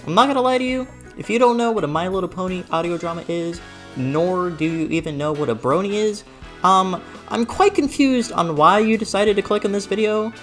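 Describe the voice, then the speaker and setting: A woman's voice: mid-range voice, expressive, a little fast. A woman narrating a scripted video essay as host, with a theatrical, sarcastic delivery and exaggerated emphasis. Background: background music.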